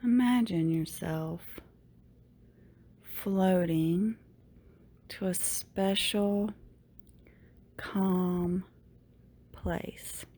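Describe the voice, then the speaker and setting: A woman leading a guided meditation in a soothing, unhurried way, with long pauses and phrases that tend to trail off softly. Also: on the low side, fairly even intonation. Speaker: yoga teacher, meditation guide.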